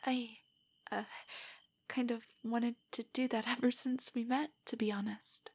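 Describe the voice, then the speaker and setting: A woman playing a nervous, nerdy, affectionate young girlfriend in an intimate audio roleplay, speaking softly and breathily in a hesitant, earnest way. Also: a little high, flat delivery, unhurried. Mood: shy, tender. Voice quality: soft, breathy, whispery. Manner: hesitant, rambling, earnestly explanatory.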